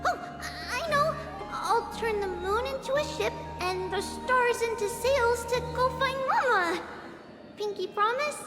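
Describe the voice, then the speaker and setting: A woman's voice: very high voice, lively intonation, a little slow, echoey room, over loud music. A woman voicing a sweet, imaginative little girl in a video game. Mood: wonder, eager.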